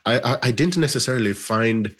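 A man's voice: mid-range voice, expressive, fairly quick, a bit bright, husky. A man from Uganda, a documentary filmmaker, giving thoughtful interview answers and often checking in with "right?".

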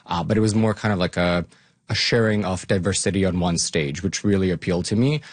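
A man from Bosnia-Herzegovina giving informal, conversational interview answers as an academic guest, often softening points as they go. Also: deep voice, fairly even intonation, fairly quick, no room sound. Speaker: academic guest, longtime fan of the topic.

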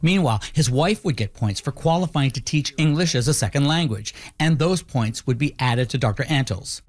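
A man narrating a documentary from a script in a measured, explanatory way. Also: medium pitch, expressive. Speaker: narrator, host.

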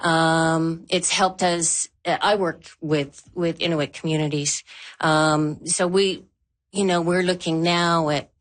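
A woman from Newfoundland who works as an archaeologist, speaking slowly and thoughtfully in an interview. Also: deep voice, steady tone. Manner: slow, measured, thoughtful. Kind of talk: interview talk.

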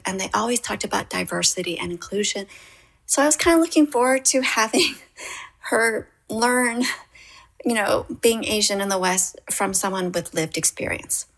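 A middle-aged Canadian woman with a husky voice and frequent vocal fry. She speaks as a playwright in an interview, telling her own story in a Canadian accent. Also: mid-range voice, fairly bright tone. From Canada, raised in Toronto.

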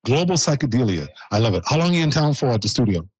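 A man's voice: mid-range voice, big pitch swings, quick pace, a little mellow, breathy edge, no room sound, dull audio. A man hosting a broadcast interview, joking and teasing his guests and laughing often. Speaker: host.

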